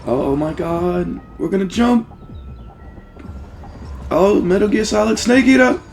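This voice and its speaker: A man giving casual, rambling, profanity-laced gaming commentary with excitable fan talk. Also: sits high, slow, creaky, soft background music. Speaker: let's play host.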